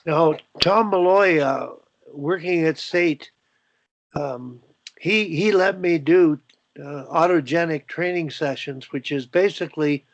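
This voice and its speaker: A man's voice: on the high side, slow, no room sound. An older man with a Canadian accent, hosting a coaching discussion on a video call and talking in a rambling way, full of fillers and restarts. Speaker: host. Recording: dull, dry video call audio.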